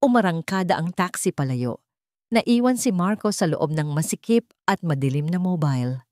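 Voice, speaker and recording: A woman's voice: fairly low pitch, expressive, normal speed, darkish tone, no echo, studio quality. A woman reading a dramatic love story as an audiobook narrator.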